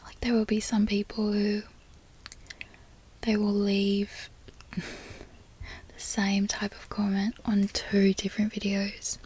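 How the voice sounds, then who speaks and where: A woman whispering close to the microphone in a put-on Australian accent, chatting in a soft, unhurried way for an ASMR product review. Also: medium pitch, completely flat delivery, a little hiss or hum. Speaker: ASMR creator. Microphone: close sensitive microphone.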